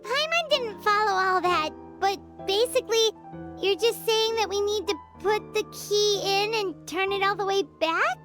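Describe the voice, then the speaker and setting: Tiny childlike game companion: very high, squeaky, blunt. Loud music underneath. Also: female voice, unhurried. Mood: puzzled. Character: tiny floating fairy-like companion, childlike, plain-spoken. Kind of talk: video game character acting.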